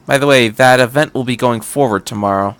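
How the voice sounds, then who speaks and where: A man's voice: medium pitch, a little fast, a bit bright, clear, resonant tone, no echo, lightly acted. A man voicing a detached female scientist in a story, with a clinical, practiced manner.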